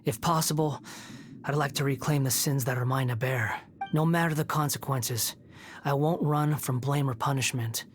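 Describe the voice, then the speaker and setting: A woman's voice: extremely low pitch, moderate pace, a little hiss or hum. A woman playing a brooding, proud and bitter young male puppet in a video game, solemn and terse with a sardonic edge. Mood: solemn, resolute.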